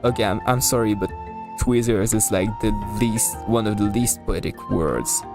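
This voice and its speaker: Very low-voiced woman streaming a game. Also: normal speed, music in the background. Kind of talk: live game commentary and reading dialogue aloud.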